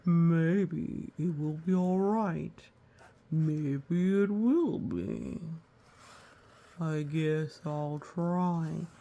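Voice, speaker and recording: Woman voicing an anxious, very slow storybook walrus. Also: low-pitched, mellow, highs cut off, exaggerated voice. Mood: hesitant. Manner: slow, dramatic, dwelling on each misfortune. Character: anxious, gloomy male walrus, imagining disasters. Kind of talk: storybook read-aloud character voice.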